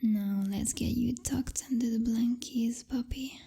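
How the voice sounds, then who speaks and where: Breathy, whispering possessive vampire girlfriend played by a woman. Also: fairly high pitch, steady tone, mellow, heavily acted. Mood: coddling, smug. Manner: slow, purring, teasing, condescending pet names. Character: possessive female vampire girlfriend, teasing, dominant, coddling. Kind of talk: intimate ASMR roleplay.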